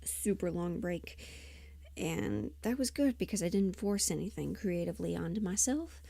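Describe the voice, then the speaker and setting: A woman livestreamer chatting casually and thinking aloud in an unhurried, musing way, often trailing into half-whispers. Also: lowish voice, expressive, creaky, warm tone, dry recording. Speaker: audio roleplay performer and livestream host.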